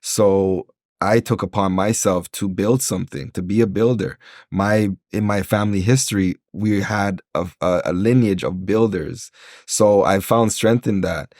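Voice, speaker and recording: Earnest motivational man, low voice. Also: fairly even intonation, a little slow, darkish tone, dry recording, clean studio sound. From Jamaica. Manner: earnest, motivational, with many fillers and restarts. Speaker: entrepreneur guest. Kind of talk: casual interview talk. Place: podcast recording.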